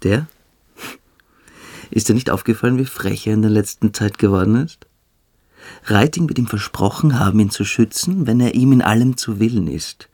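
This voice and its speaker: A man playing a calculating, cruel young military-school cadet in a German radio drama, speaking with deliberate, coolly superior menace. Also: fairly low pitch, darkish tone, breathy edge. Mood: contemptuous, confiding.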